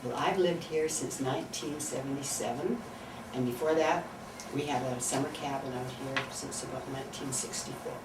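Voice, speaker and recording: A woman's voice: a bass voice, normal speed. Chatty older woman, distant and echoey. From Canada. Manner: chatty, asks questions back. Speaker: interviewee. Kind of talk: casual interview answers. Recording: distant mic in a noisy, echoey room.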